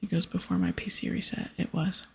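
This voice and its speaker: A woman speaks in a very breathy, husky murmur with heavy creak, often slipping into a whisper. She gives casual, gentle ASMR game commentary and reads text aloud. Soft music plays behind her. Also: on the low side, very animated, a little fast. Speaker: video game streamer. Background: music, keyboard clicks.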